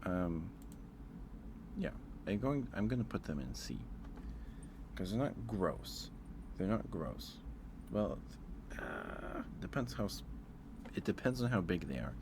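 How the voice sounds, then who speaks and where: Low-voiced guy on an asmr stream, slow chatty rambling, repeats himself, clear background noise. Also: very animated. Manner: hesitant, repeats phrases as he thinks aloud. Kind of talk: ASMR livestream, casual chat ranking things.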